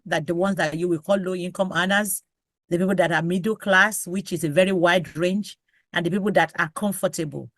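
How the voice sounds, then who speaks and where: A woman's voice: medium pitch, a bit bright, dry recording. Dry-sounding woman pastor giving advice. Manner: lists examples in sequence, urges the listener directly. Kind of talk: advising on a panel talk show.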